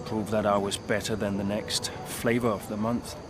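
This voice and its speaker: A man's voice: lowish voice, flat delivery, normal speed, noisy background, close to an everyday voice. A man playing a rueful veteran racing driver. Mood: regretful. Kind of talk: game cutscene acting.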